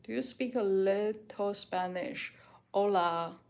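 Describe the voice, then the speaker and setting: A woman's voice: medium pitch, slow pace, darkish tone. A woman from China, a native Mandarin speaker with a Chinese accent, reading viewer questions aloud and answering them frankly in casual chat while doing dishes at home.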